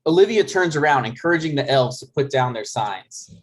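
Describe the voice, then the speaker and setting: A man's voice: medium pitch, animated, fairly quick. A man narrating stage directions from a script at a comedy table read.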